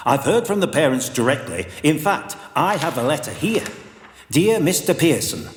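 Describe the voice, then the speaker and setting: An actor playing a measured, polite but put-upon council member in an audio drama. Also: male voice, fairly high pitch, big pitch swings, a little hiss or hum. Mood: polite objection. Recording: echoey hall with long reverb.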